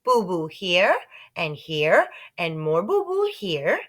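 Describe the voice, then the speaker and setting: A woman's voice: on the low side, huge pitch swings, takes it slowly, heavily acted. A woman voicing a whiny, demanding toddler boy in plaintive baby talk, in a storybook read aloud.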